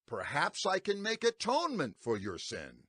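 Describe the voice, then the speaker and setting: A man's voice: high-pitched, huge pitch swings, moderate pace, a bit bright, breathy edge, no echo, studio quality, exaggerated voice. A man playing an impassioned, stern prophet and leader, declaiming dramatically in a dramatized audio Bible. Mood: stern, solemn. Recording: faint music behind the voice.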